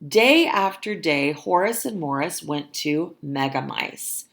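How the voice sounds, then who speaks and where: Slow, animated woman reading to children. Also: low voice, gravelly. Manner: simple, deliberate explanations for young children. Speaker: teacher reading a picture book aloud. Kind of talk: expressive children's story reading with explanatory asides.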